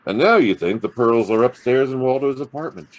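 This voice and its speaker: An actor playing a hard-boiled, wisecracking private detective in a noir radio drama, with a gravelly, husky voice and a sardonic drawl. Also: male voice, medium pitch, animated, a little fast, close to an everyday voice. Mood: probing, wry. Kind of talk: noir radio drama acting and first-person narration.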